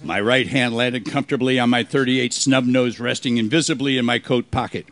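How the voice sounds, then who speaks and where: A man playing a wisecracking, deadpan private detective in an old-time radio style play. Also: mid-range voice, fairly quick, dry recording, close to an everyday voice. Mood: deadpan narration. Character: wisecracking hardboiled male private detective narrating his case.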